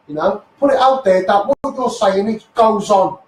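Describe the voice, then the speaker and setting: A man's voice: high voice, moderate pace. A man with a Scouse accent delivers an impassioned, blunt, sweary live rant as a campaigner, repeating phrases for emphasis. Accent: Scouse (Liverpool). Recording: echoey, noisy livestream, distant mic.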